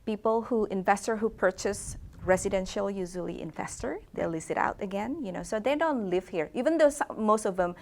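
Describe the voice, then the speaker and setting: A woman's voice: medium pitch, brisk, gravelly, hardly any pauses, a little hiss or hum. A middle-aged woman from Indonesia, a real estate agent answering interview questions in a hesitant way, full of fillers and restarts.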